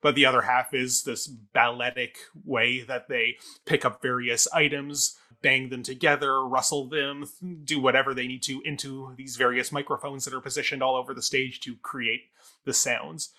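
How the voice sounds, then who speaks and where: A man's voice: mid-range voice, little pitch movement, normal speed, bright, forceful tone. A man co-hosting a casual arts talk show, reviewing in a wordy, discursive and playful way.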